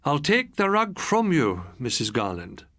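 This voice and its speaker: A man voicing a sad, courteous rural shopkeeper in an audiobook story, formal and steady in manner. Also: fairly high pitch, unhurried, dry recording, studio quality, pitched above the performer's own voice, heavily acted.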